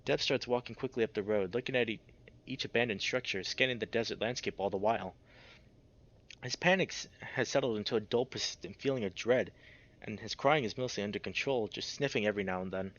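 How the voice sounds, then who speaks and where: A man's voice: on the low side, a little fast, a bit bright, highs cut off. A man narrating a visual novel in a dramatic, immersed storytelling way, as a player reading aloud.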